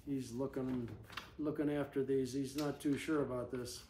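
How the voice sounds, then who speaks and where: An older man in about his seventies reads a storybook aloud to a small child, pausing for gentle asides and questions. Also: mid-range voice, level tone, echoey room, slight background noise. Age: older, about 70s. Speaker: story reader. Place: living room armchair, indoors. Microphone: camera a few feet away.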